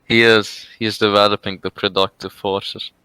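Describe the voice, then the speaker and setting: Brief: lower-voiced man with a Lebanese accent, bright and very clean sound, halting debater. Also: little pitch movement, smooth, dry recording, low-quality recording. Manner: halting, restarts with fillers. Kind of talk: online voice-call debate.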